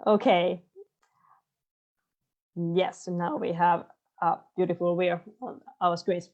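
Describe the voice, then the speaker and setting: A woman's voice: lowish voice, fairly even intonation, slow, a little mellow. A young woman in her twenties moderating a panel on a video call, polite and a little hesitant. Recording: dull, muffled call audio.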